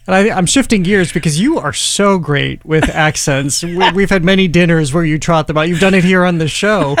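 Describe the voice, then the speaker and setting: A man's voice: high voice, normal speed, noisy background. A man hosting a radio culture show, interviewing a guest with jokey banter and reading the closing announcements. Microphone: studio microphone.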